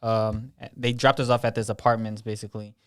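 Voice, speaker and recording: Man, 20s, from Kenya; level, clear voice, matter-of-fact interview guest. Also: lowish voice, little pitch movement, brisk. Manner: plain, matter-of-fact, with frequent ums. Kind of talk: personal storytelling in an interview.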